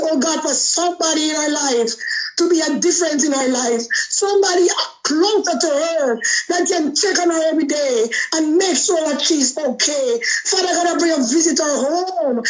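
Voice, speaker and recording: An older woman, hoarse from flu, leading a fervent spoken prayer over a phone call. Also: sits high. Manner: fervent, rhythmic prayer full of "oh God" refrains.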